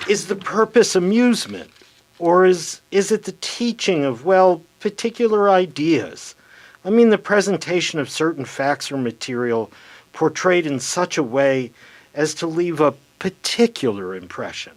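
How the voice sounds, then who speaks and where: A man's voice: on the high side, animated, a little slow. A man playing a probing, formal 1930s congressional committee chairman in a radio theater reading. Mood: pressing.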